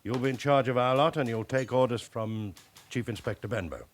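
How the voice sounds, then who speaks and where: An actor playing an authoritative British senior police officer in a radio drama, brisk and matter-of-fact as he gives orders. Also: mid-range voice, close to an everyday voice.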